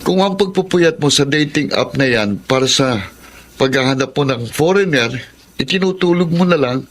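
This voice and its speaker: An actor as a lazy, teasing Filipino brother in his thirties. His voice is fairly high for a man and lively, needling in a Filipino accent, in radio drama style. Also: normal speed, natural voice. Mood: mocking. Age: 30s. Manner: mocking, needling.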